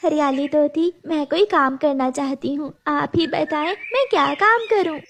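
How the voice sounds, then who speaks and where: A woman's voice: high voice, normal speed, a bit bright, a little hiss or hum, voice pushed up. A woman playing a caring, anxious mother bird with a tender, fretful manner in a children's cartoon. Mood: gentle. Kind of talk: children's cartoon story acting.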